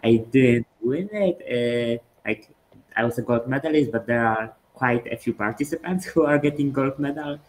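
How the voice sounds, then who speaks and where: A man's voice: mid-range voice, normal speed, slight background noise. A young man from Poland, a podcast guest joining by video call, answering casually and hesitantly with many fillers and small laughs. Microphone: video call webcam.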